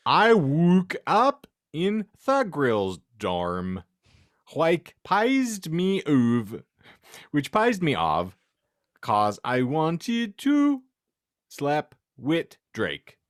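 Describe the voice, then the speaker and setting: A man hosting a podcast, giving a theatrical comic reading of fan fiction and halting over odd words. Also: a little high, wildly swooping pitch, no echo, clean studio sound. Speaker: podcast host and narrator.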